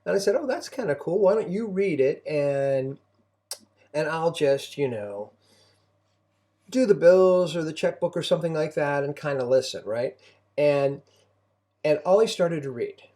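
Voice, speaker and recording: Mellow middle-aged man, reflective talker. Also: a little high, lively intonation. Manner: reflective, halting with repeated phrases. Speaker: homeschooling parent and co-host. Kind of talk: conversational parenting talk.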